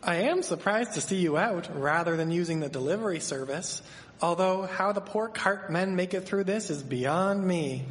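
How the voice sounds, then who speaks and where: A man's voice: high voice, expressive, normal speed. A man playing a courteous, folksy, old-fashioned husband of the 1870s in a live radio drama. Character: courteous, patronizing husband, townsman in 1873. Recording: echoey hall, live stage recording.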